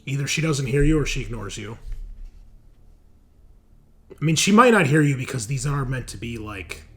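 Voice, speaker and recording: A man's voice: mid-range voice, lively intonation, normal speed. A man narrating a tabletop roleplaying game as game master in a casual, conversational way.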